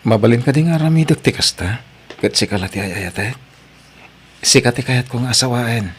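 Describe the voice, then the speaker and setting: A man with a Filipino accent and a husky voice playing a devoted, earnest young sweetheart in a romantic drama. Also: mid-range voice, moderate pace, slight background noise, natural voice. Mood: tender, earnest. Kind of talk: Filipino radio-style romantic drama acting.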